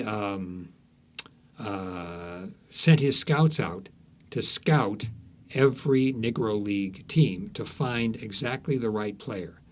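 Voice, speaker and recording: A man with a fairly low voice talks as a guest author in an interview. He tells stories conversationally, pausing to hunt for the right word and repeating words for emphasis. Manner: halting, searching for words, emphatic repetition.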